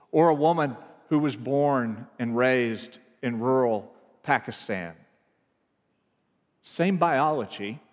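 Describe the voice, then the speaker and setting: A man's voice: medium pitch, in a large hall. A man from the American South preaching a reflective sermon as pastor, earnest and building in emphasis.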